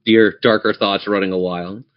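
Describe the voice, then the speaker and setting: A man's voice: lowish voice, steady tone, brisk, highs cut off. A man running a tabletop game as game master, narrating scenes descriptively and speaking straight to his players. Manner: descriptive, addresses players directly.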